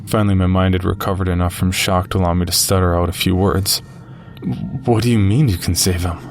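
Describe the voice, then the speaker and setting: A man playing a narrator who recounts a frightening encounter with a monster in measured, grave, scripted first-person storytelling. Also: deep voice, fairly even intonation. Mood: grave, uneasy. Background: music.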